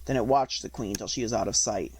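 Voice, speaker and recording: A man narrating a storybook as an audiobook reader, in an expressive scripted reading. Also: fairly low pitch, fast, slight background noise.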